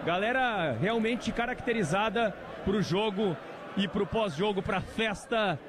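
A man's voice: high voice, a little slow. A man reporting live from the stands of a stadium, raising his voice over the fans. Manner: excited, raises voice over the crowd. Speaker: field reporter. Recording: noisy crowd outdoors. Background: cheering crowd.

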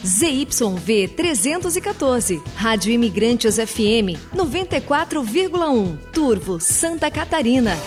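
A female announcer delivers a scripted station identification. Her voice is fairly high and swings in an animated way, with faint music underneath. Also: a little slow, hardly any pauses.

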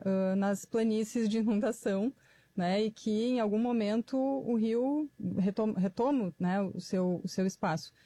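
Woman academic, calm, measured, mellow tone. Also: mid-range voice, no echo. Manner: measured, thoughtful explanation. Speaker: professor, panel guest.

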